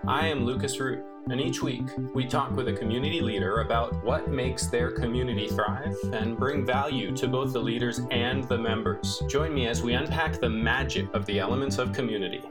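Male host reading a measured show opener, set back from the mic over loud plucked guitar. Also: mid-range voice. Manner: measured, announcing. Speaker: podcast host. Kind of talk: scripted show intro. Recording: distant voice under loud guitar music.

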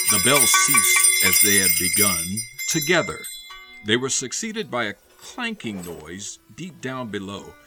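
A man narrating a radio play as a dramatic storyteller, theatrical and suspenseful. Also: on the low side. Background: music.

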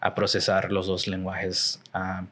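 A man's voice: sits low, monotone, unhurried, fairly bright tone, a little hiss or hum. A young man hosting a casual interview, speaking loosely with fillers and slang. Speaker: podcast host.

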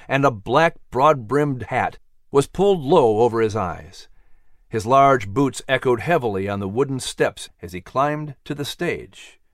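A man narrating a story in an animated, dramatic, expressive style. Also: medium pitch, dry recording, studio quality. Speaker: audiobook narrator.

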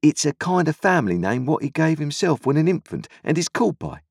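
A man's voice: fairly high pitch, big pitch swings, gravelly, slightly rough, no room sound, studio quality, voice pushed up, heavily acted. A man voicing a kindly, plain-spoken country blacksmith in homely dialect, raised well above his own voice. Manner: homely dialect grammar, slow and easygoing.

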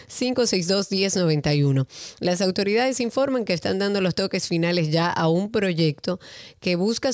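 A woman's voice: on the low side, darkish tone, dry recording, clean studio sound. A woman with a Dominican accent hosting a radio call-in show in a conversational way.

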